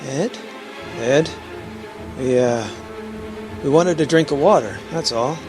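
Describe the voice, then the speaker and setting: A man's voice: medium pitch, slow pace, over music, natural voice. A man acting the part of an anxious rocket captain in an earnest, dramatic old-time radio style. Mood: uneasy, hushed. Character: anxious male rocket captain stranded on Mars. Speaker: actor in amateur radio play.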